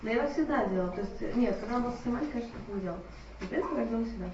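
A mother in the audience of a lecture, talking quickly and cutting in to explain her view. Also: fairly high pitch, animated, darkish tone, a little hiss or hum. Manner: quick, interrupting, eager to explain. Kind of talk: audience discussion. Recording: distant, roomy, muffled audience mic.

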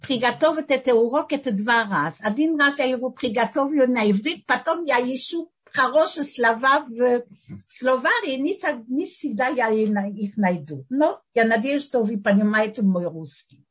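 An older woman whose first language is French, teaching a religious lesson and often pausing to search for words. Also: on the high side, expressive, slightly rough, muffled recording.

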